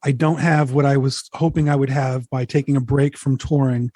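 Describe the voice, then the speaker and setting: A man's voice: medium pitch, fairly even intonation, moderate pace, dark tone, dry recording, clean studio sound. A man in his forties, a musician talking candidly and reflectively as an interview guest, swearing casually.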